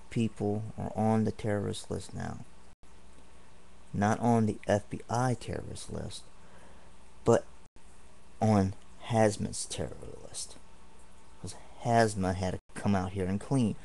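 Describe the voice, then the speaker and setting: Slow, gravelly-voiced indignant man. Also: fairly low pitch, fairly even intonation, mellow, slight background noise. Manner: indignant, rambling, repeats himself. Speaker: vlogger. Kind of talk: angry home video rant. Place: home apartment.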